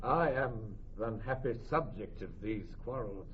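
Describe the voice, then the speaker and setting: A man's voice: medium pitch, animated, normal speed, breathy edge. A man playing an earnest, melancholy merchant and loyal friend in classical Shakespeare radio drama, solemn and formal. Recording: dull, lo-fi old radio recording.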